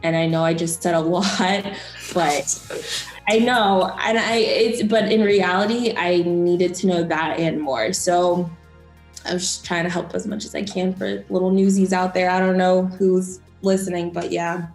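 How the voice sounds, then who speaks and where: A woman's voice: fairly low pitch, steady tone, a little slow. Chatty young woman, echoey room. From Florida. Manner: chatty, encouraging, many fillers. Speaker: broadcast journalist and news anchor. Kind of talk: casual interview advice. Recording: roomy echo, faint music.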